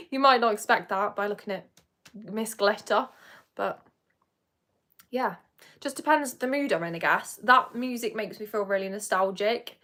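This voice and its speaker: A woman's voice: a little high, fairly bright tone. A young woman hosting a livestream, chatting casually with viewers in a rambling, giggly way. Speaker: beauty livestream host. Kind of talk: casual chat with live viewers. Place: home, indoors. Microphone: phone or webcam.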